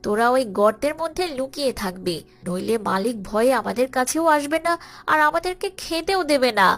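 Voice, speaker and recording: High-voiced fretful mother hen played by a woman. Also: expressive, fairly quick. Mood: fretful, caring. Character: anxious, protective mother hen, scolding but soft-hearted. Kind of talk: children's cartoon voice acting.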